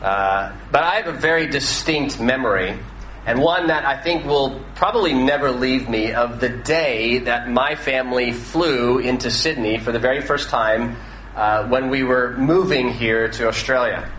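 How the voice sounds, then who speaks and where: A man's voice: medium pitch, unhurried, a bit bright, echoey room, a little hiss or hum, poor audio. An American man in his thirties preaching a sermon in a conversational way, with frequent fillers and restarts. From northwestern United States. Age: 30s. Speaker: pastor.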